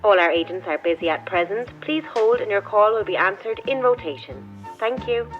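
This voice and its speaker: An actress as an automated phone-queue announcer. She is formal and impersonal, at an ordinary pitch. The sound is dull and lo-fi, with faint music. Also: animated, moderate pace, close to an everyday voice. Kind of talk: scripted recorded phone announcement.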